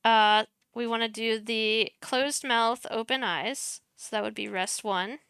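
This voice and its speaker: A young woman talks through a streaming tutorial on a headset, soft-spoken and chatty, muttering to herself as she clicks. Her voice is bright and very clear, and she takes her time. The sound is close and dry, with no room around it. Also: on the high side, fairly even intonation, unhurried, no room sound. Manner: chatty, self-deprecating, mutters while clicking. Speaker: streamer teaching streaming setup. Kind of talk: casual screen-share tutorial.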